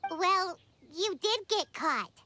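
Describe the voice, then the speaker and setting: Tiny squeaky childlike fairy. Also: female voice, animated, takes it slowly, sharp tone, slight background noise, heavily acted. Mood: cheeky, curious. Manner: blurting, exclamatory. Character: tiny, cheeky, excitable childlike fairy companion. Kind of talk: video game character acting. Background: music.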